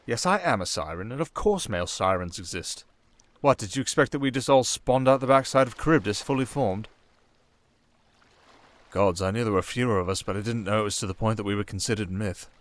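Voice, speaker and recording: A man with a British accent playing a sardonic, softly dangerous male siren in an ASMR roleplay, with dry, theatrical sarcasm. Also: on the low side, fairly quick, lightly acted. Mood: sardonic, wary.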